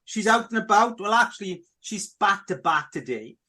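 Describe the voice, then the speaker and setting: A man's voice: sits high, big pitch swings, normal speed, pushed and bright. A middle-aged man hosting a radio podcast, chatting casually and repeatedly addressing his listeners. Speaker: podcast host.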